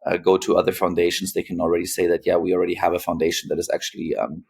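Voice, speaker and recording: Deep, gravelly man speaking hesitantly. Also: level tone. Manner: hesitant, pausing often between phrases. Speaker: podcast guest, philanthropist. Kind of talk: interview conversation, recounting own experience.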